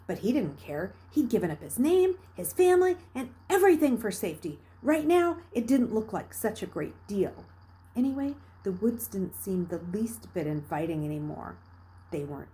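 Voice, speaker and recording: A woman reading a children's novel aloud with expressive, dramatic narration, moving between hushed passages and emphatic bursts. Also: medium pitch, low-quality recording. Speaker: read-aloud storyteller.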